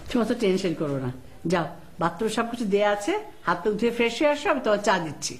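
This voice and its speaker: A woman acting a brisk, reassuring middle-aged wife and mother in a TV drama. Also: medium pitch, expressive, a little hiss or hum, close to an everyday voice.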